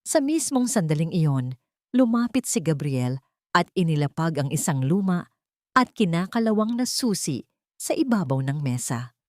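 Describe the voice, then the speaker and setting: Expressive woman narrator, lower voice. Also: normal speed, dry recording, studio quality. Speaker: audio story narrator. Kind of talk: scripted dramatic storytelling narration.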